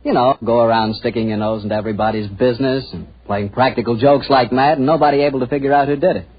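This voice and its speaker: An American man announcing and pitching for a sponsor in 1940s radio style, folksy and salesman-like. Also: fast talker, breathy edge. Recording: muffled 1940s radio with music behind.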